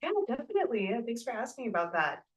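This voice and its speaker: A guest who grew up in the United States, speaking in a thoughtful, halting way with many fillers and soft laughs. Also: mid-range voice, very animated, recorded from a distance. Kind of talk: reflective casual interview talk.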